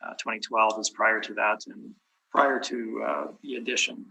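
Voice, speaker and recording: A hoarse man losing his voice, presenting his side at a property tax hearing in a methodical way, often clearing his throat. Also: fairly low pitch, fairly even intonation, moderate pace, bright, forceful tone, low-quality recording. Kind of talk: formal presentation with many fillers. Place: municipal committee room.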